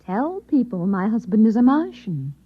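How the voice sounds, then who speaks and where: A woman playing a composed, secretive Martian posing as a wife in an old-time radio drama, poised and deliberate. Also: fairly high pitch, sing-song, husky, phone-quality sound. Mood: wary.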